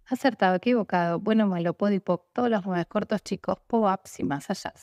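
A young woman, as a facilitator, reciting repetitive clearing statements in a steady, rhythmic way. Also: medium pitch, brisk, dry recording, studio quality.

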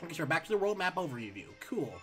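A man hosting a let's play, reading game text aloud and chatting casually while his voice is going hoarse. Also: a little high, racing, faint music behind. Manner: reads on-screen text aloud, relaxed asides. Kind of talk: casual gameplay commentary.

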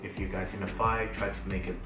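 A man's voice: lowish voice, fast. A man in his thirties whose first language is Mandarin, chatting casually on a couch as co-host, with many fillers and restarts. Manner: many fillers and restarts, animated hand gestures. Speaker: co-host of a couple's channel. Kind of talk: casual Q&A chat. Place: bright living room, sitting on a couch. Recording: roomy, distant sound with background music.

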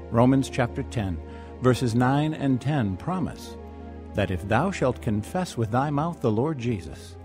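A male radio announcer with a medium-pitched, highly animated voice reads scripted ministry messages. His delivery is earnest and deliberate, with music playing behind him.

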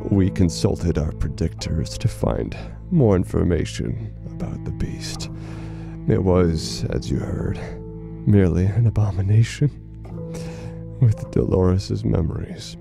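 Casting: a grave, cracking emergency broadcaster in horror audio drama; deep, very slow, formal yet unstable. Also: male voice, very animated, creaky, music in the background, full character voice. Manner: halting, formal, theatrical, unstable. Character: unhinged male broadcaster, grave, formal, fragile, devoted to his council.

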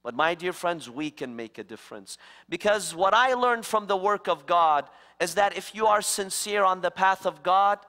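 A middle-aged man preaching an interfaith sermon to a church congregation, slow and emphatic with dramatic pauses. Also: sits high, animated, bright, forceful tone. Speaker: guest preacher. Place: large church sanctuary, standing before the congregation. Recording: reverberant church hall.

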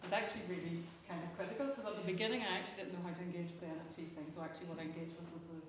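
A woman's voice: lowish voice, fairly even intonation, very fast. A woman, an artist, speaking informally in a panel discussion. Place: indoor gallery event space. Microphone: distant camera. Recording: very echoey, noisy room from far off.

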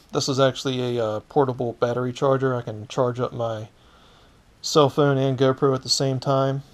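A man casually walking through his gear item by item, as the host of a hands-on fishing vlog. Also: medium pitch. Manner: informal, explaining item by item. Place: indoors in a cluttered garage. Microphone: head-mounted action camera. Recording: clicky, noisy action-camera audio.

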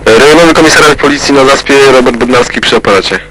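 A man playing a brisk policeman on the telephone, curt and casual, in a crime radio play. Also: medium pitch, noisy background, natural voice.

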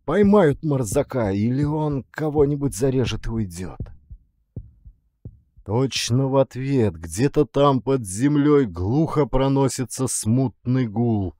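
A man narrating a literary story as an audiobook, with expressive, suspenseful delivery. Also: mid-range voice, slow pace, no echo, studio quality.

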